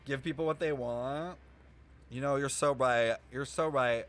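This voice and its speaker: A man's voice: mid-range voice, steady tone, unhurried, fairly bright tone. A young man livestreaming, chatting casually and expressively with his viewers as he reacts to a game, in slangy speech. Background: game music.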